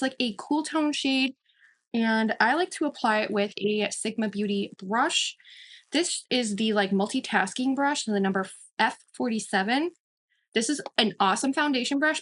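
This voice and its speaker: Chatty thirties woman reviewing makeup. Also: on the high side. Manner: chatty, emphatic, repeats words for emphasis. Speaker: beauty vlogger.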